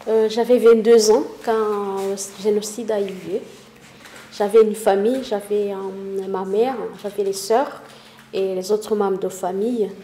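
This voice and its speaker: Witness: soft-toned woman from Rwanda, halting delivery, roomy echo, faint background noise. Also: medium pitch, fairly even intonation. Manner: halting, searching for words, many fillers. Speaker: interviewee, witness. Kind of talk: spoken testimony.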